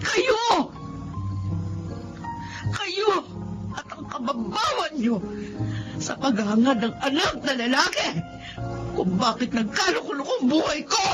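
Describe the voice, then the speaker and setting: High-voiced tough young female boxer. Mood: anguished, accusing. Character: tough young female street boxer, bitter wronged daughter. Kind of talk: Tagalog radio drama acting. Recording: muffled lo-fi audio under loud music.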